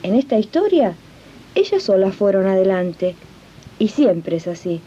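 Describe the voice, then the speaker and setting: A woman's voice: medium pitch, sing-song, unhurried, warm tone, slightly rough. A woman playing a domestic worker who narrates a radio drama earnestly and didactically to her fellow workers. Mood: earnest, rallying. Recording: dull old radio recording.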